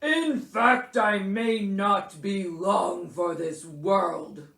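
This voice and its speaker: Lady doing a grumpy old-fashioned pompous sick bear guy for a kids story, gruff n loud, drags words out, echoey. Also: medium pitch, exaggerated voice. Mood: self-pitying. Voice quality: gruff, growly. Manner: loud, pompous, melodramatic, drawn-out. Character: grumpy, self-pitying sick male bear, melodramatic. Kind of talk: children's storybook character voice. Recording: roomy echo.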